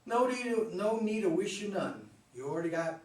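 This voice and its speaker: A man's voice: sits high, expressive, normal speed, voice pushed up. A man reading a blunt, resentful, folksy character in a stage play. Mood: guarded, curt. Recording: very echoey room, distant mic, faint noise.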